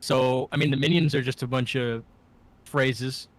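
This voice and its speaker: A man's voice: medium pitch, steady tone, unhurried. A man co-hosting a podcast in casual chat, giving brief, laid-back replies. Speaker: podcast co-host.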